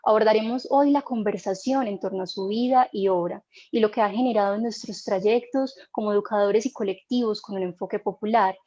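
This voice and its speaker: A woman moderating an online panel, reading a formal introduction in a measured way. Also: mid-range voice, warm tone, highs cut off.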